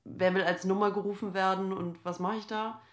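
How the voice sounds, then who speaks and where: A woman's voice: mid-range voice, little pitch movement, fairly quick. Woman reflecting aloud in echoey room. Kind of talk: spontaneous reflective interview talk.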